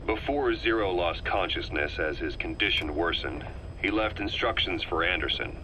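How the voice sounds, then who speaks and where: An actor playing a shrewd, grave male spy in a video game, giving a recorded briefing in a measured, ominous, deliberate way. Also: low-pitched, expressive, pushed and bright, full character voice. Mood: confiding, sober. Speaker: intelligence informant. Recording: noisy audio cassette tape playback.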